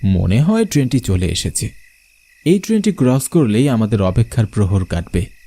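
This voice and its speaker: Expressive male horror narrator, lively pitch. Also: normal speed. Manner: expressive, theatrical. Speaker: narrator and lead character. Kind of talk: dramatic first-person horror story narration. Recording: loud train noise behind.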